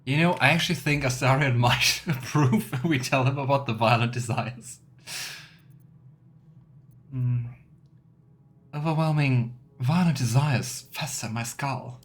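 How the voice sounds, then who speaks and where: A man's voice: medium pitch, measured pace. A man doing casual let's play commentary, thinking aloud and musing as he role-plays.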